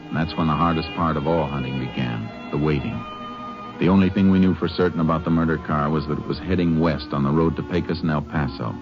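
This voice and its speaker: An actor playing a calm, methodical Texas Ranger in a 1950s radio western, speaking in clipped, matter-of-fact phrases. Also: male voice, extremely low pitch, fast talker, music in the background. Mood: sober narration. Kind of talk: 1950s radio western drama acting and narration. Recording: dull old radio recording with clear hiss.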